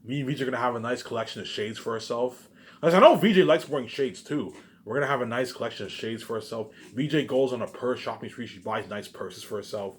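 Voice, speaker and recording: A man's voice: mid-range voice, fast. A man talking in a casual, rambling monologue, circling back over the same phrases with repeated filler tags and emphatic bursts that trail off. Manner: repetitive, restarts phrases, frequent filler catchphrases.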